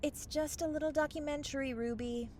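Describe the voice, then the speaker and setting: A woman's voice: high-pitched, steady tone, brisk, darkish tone, slight background noise, close to an everyday voice. A woman playing a sarcastic, exasperated young fairy in a mockumentary-style comedy audio drama, with dramatic, sardonic delivery. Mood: strained, polite.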